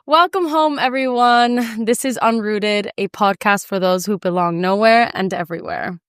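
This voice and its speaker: A woman's voice: mid-range voice, normal speed, fairly bright tone, clear, resonant tone, dry recording, studio quality. A woman hosting a podcast, talking in a chatty, casual way. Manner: chatty, friendly, fillers and restarts. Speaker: podcast host. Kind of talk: casual conversational interview.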